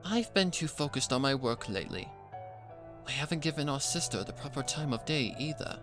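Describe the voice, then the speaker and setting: A man voicing a refined, supportive adult pony mare, composed and warm-hearted, in a fan fiction story reading. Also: medium pitch, lively intonation, brisk, over music, close to an everyday voice.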